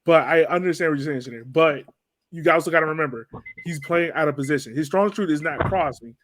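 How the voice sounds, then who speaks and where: Male podcast host, casual post-game sports chat, higher register, very dry recording. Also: fast, fairly bright tone, no room sound, rough recording. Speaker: podcast host moderating a soccer discussion.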